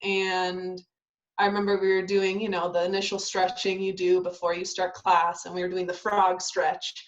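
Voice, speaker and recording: A woman's voice: lowish voice, completely flat delivery, normal speed, highs cut off. A young adult woman, a ballet dancer born in Italy and raised in Southern California, chatting casually in an interview with lots of ums and restarts. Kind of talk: casual interview over video call.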